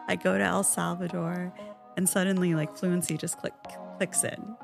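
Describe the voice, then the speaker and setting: Chatty woman with a gravelly edge. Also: lowish voice, normal speed, music in the background. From Iran. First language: Farsi. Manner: chatty, animated, laughs often. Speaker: podcast co-host. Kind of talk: casual couple chat.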